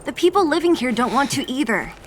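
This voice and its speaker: A woman's voice: high voice, big pitch swings, a little fast, a little hiss or hum. A young woman playing a sceptical, teasing companion in a video game. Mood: wry, matter-of-fact. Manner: teasing, questioning. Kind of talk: scripted game voice acting.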